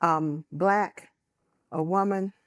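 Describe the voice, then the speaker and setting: A woman's voice: fairly low pitch, fairly even intonation, very slow, dry recording, studio quality. A woman poet laureate casually greeting the audience before reading her poems.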